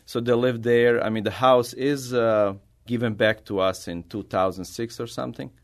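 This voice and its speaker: A middle-aged man from Bosnia with a Bosnian accent, a native Bosnian speaker answering interview questions as an artist in halting, non-native English. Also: medium pitch, steady tone. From Bosnia, former Yugoslavia. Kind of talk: conversational interview answers, personal storytelling.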